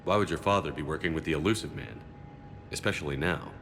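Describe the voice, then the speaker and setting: A man playing a steadfast military commander in a video game, speaking in a measured, grave manner. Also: a bass voice, noisy background. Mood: serious, questioning.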